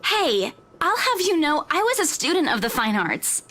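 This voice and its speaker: An actress playing a chatty, eager young estate agent in a horror visual novel, youthful and informal in manner. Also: female voice, high voice, sing-song, moderate pace, bright, forceful tone, heavily acted. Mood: stung.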